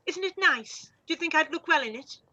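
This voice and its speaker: A woman voices a silly teenage girl in a period drama. She gushes and whines in a high, very lively, bright voice. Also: fairly quick, muffled recording. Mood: demanding. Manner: gushing, petulant. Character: excitable, whiny teenage girl, silly younger sister. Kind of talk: period radio play acting.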